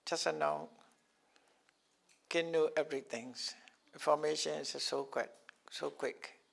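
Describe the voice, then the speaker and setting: Slow gravelly older man teaching. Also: a little high, fairly even intonation, a bit bright. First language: not English. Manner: halting, repeats terms, chuckles often. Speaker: Buddhist teacher. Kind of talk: explaining scriptural terms to a class.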